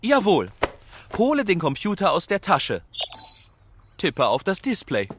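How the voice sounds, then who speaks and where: Casting: dutiful, literal-minded kids' radio play cop, staccato, highish and swooping, over clear noise. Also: male voice, wildly swooping pitch, unhurried, heavily acted. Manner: staccato, literal self-announcing reports. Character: eager, dutiful police officer narrating own actions. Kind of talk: German children's audio drama acting.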